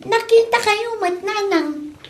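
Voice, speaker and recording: Woman playing a very high-pitched cheeky Ilocano daughter. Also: takes it slowly. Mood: cheeky, protesting. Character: young daughter, cheeky, fashion-minded, eager to go out. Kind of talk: comedy radio drama acting.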